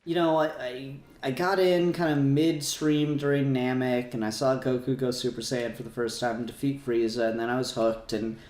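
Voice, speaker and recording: A man's voice: mid-range voice, fairly even intonation, normal speed, hardly any pauses, darkish tone, echoey room. A man hosting a casual fan discussion podcast, talking in a rambling way and often correcting himself. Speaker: podcast host.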